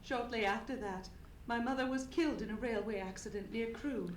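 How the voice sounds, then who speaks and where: A woman's voice: a little high, fairly quick, close to an everyday voice. A woman playing a frightened, distraught young Englishwoman who tells her story formally and dramatically in an old-time radio mystery. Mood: grave. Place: theatre stage, live radio play performance. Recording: echoey live theatre, faint noise.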